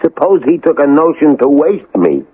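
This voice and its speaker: An actor playing a nervous, suspicious small-time crook in a radio drama, grumbling, stammering and slangy. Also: male voice, on the high side, very animated, normal speed, slightly rough. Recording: tinny, phone-like old radio broadcast.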